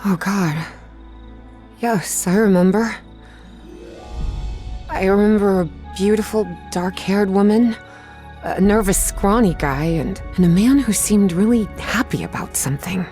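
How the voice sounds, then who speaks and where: A woman's voice: medium pitch, a little slow, pauses often, mellow, faint music behind, close to an everyday voice. An actress playing a wounded, indignant woman in her thirties in a video game, blunt and emotional as she confronts someone. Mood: recalling. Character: indignant, wounded younger woman demanding answers. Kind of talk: dramatic video game acting.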